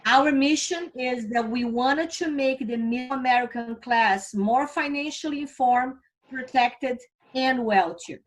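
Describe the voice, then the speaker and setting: A woman's voice: high-pitched, measured pace, rough recording. A middle-aged woman from Brazil with a Brazilian accent, giving an earnest, upbeat recruitment presentation and asking her audience questions. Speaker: recruiting presenter for a financial firm.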